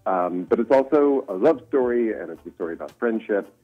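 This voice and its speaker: A man's voice: fairly low pitch, animated, brisk. A man speaking as an interview guest over a muffled call-in line, explaining things in an easygoing way. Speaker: writer-producer, interview guest. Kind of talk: casual promotional interview. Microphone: remote call-in line. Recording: muffled lo-fi call, faint music.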